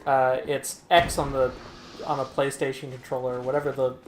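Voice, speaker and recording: A man's voice: mid-range voice, level tone, moderate pace. A man hosting a let's play, chatting casually and rambling through his thoughts with lots of fillers. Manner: rambling, many fillers and restarts. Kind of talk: casual gaming chat. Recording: roomy mic with audible noise.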